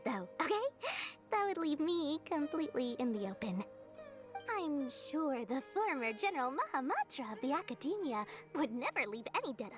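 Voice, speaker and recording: A crafty little merchant girl from a video game, greedy and theatrical. The voice is high and swoops with every wheedling pitch, over faint music. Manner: theatrical, wheedling sales patter. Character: small crafty, greedy female desert merchant, chirpy salesperson. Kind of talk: video game character dialogue. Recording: music behind the voice.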